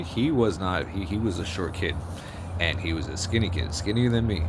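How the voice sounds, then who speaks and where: A man with a deep bass voice giving a blunt, profane, rambling opinion monologue with many restarts. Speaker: vlogger. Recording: outdoor street noise with passing vehicles.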